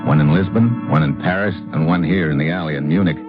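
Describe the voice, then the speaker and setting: An actor playing a tough, brisk American secret agent in an old radio detective drama, with clipped first-person narration and dialogue. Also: male voice, rumbling low. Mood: tense, hard-boiled. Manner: clipped, hard-boiled delivery. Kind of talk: 1950s radio detective acting, scripted narration. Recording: muffled old radio recording, loud music.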